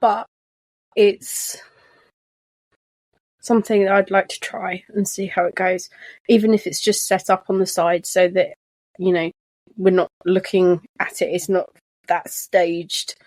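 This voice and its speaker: A soft-spoken woman co-hosting a chatty podcast from her sofa at home, talking with frequent restarts. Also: fairly low pitch, little pitch movement, unhurried, pauses often, no echo. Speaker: podcast co-host. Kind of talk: casual chat. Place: living room sofa at home. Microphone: shared desk microphone.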